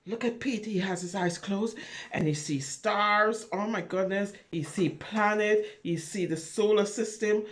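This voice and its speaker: Animated woman reading to preschoolers, unhurried pace. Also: medium pitch. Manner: expressive, wondering, talks directly to young listeners, asking questions. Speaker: children's storyteller. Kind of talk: animated picture-book read-aloud for preschoolers, with chatty asides.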